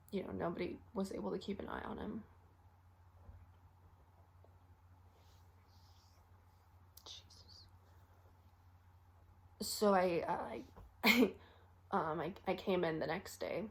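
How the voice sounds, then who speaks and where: Woman acting tired frustrated young intern doctor, female, fry in the voice, halting and confiding, natural monologue. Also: medium pitch, a little mellow, a little hiss or hum, natural voice. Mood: grieving, bitter. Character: weary, frustrated young female first-year medical intern.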